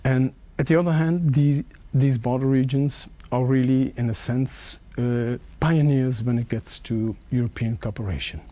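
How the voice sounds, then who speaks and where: A man whose first language is Dutch, interviewing an official in a measured way with halting restarts. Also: medium pitch, fairly even intonation, mellow. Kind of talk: formal interview question.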